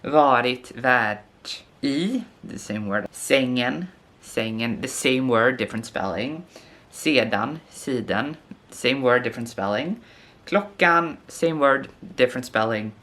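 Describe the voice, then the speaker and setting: A young Swedish man speaking English with a Swedish accent in a slow, unhurried way. He thinks aloud and sounds out unfamiliar words with care, like a language teacher chatting to his viewers. His voice sits at an ordinary middle pitch. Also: animated, pushed and bright. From Sweden. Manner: slow and musing, sounds out foreign words tentatively. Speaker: language teacher and video host. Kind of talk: casual talk to camera, thinking aloud.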